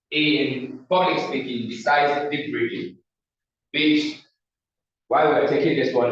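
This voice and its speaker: A man with a Nigerian accent teaching a public speaking class, often posing a question to the room and then answering it himself. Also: mid-range voice. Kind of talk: classroom lecture. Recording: echoey room, slightly dull sound.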